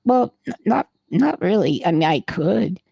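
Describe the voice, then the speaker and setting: A man's voice: high voice, expressive, a little slow, gravelly, warm tone, husky, no echo, clean studio sound. A man co-hosting a podcast, telling a long rambling anecdote in casual chat and swearing freely. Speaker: podcast co-host. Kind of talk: casual storytelling.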